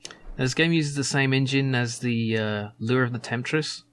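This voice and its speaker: A man's voice: mid-range voice, moderate pace, fairly bright tone, clear, resonant tone. Chatty man narrating gameplay. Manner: chatty and amused, with frequent laughs and fillers. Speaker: gameplay video host. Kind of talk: casual let's-play commentary and reading lines.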